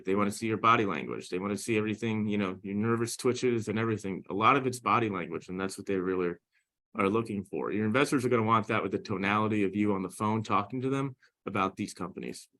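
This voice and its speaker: A man with a slightly low voice talks very quickly in a casual interview. He is emphatic, stressing his points as he gives business advice as a founder. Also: bright, forceful tone. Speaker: guest, founder and CEO.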